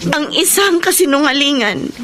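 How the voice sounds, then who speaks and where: An actress playing a contrite young politician giving a formal, earnest apology in a Filipino radio drama, with a Filipino accent. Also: female voice, high voice, expressive, normal speed. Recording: crowd murmur behind the voice.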